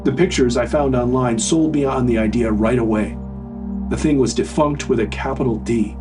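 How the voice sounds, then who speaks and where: A man's voice: mid-range voice, moderate pace. Distant-sounding man narrating over music. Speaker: horror story narrator. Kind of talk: scripted first-person story narration.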